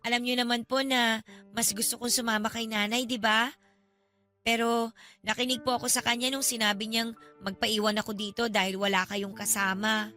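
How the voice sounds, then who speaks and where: Emotional young daughter, bright sharp voice. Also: female voice, fairly high pitch, level tone, moderate pace, soft background music. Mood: hurt, earnest. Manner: earnest, emotional. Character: hurt, dutiful young student daughter. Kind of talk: radio drama acting.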